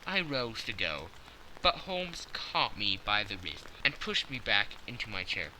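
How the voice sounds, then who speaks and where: A man's voice: on the low side, very animated, measured pace, pushed and bright, slight background noise, rough recording. A man narrating a scripted story as an audiobook reader, with faint rain behind him.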